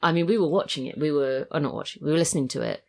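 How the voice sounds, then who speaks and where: Creaky-voiced woman in relaxed conversation. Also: sits low, racing. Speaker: podcast guest, writer and voice actor. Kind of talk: casual chat.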